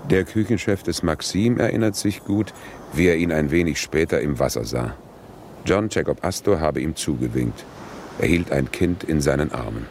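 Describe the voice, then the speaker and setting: An actor playing a witness-narrator in a radio play, dry and deadpan, in standard German. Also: male voice, rumbling low, moderate pace, a little hiss or hum. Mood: dry, grave. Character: dry, deep-voiced male witness-narrator.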